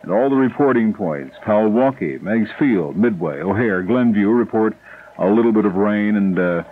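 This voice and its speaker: An American man gives a weather report as a radio anchor. His voice is low, with a thin phone-like broadcast sound and light noise. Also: lively intonation, normal speed. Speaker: local radio news anchor. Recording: noisy lo-fi 1970s radio broadcast.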